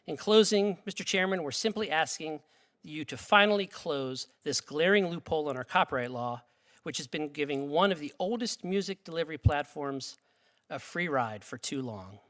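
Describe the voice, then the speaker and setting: A man's voice: fairly high pitch, fairly bright tone. A man reading prepared testimony in a formal, emphatic way. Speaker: witness at congressional hearing. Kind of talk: prepared testimony read aloud. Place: congressional hearing room.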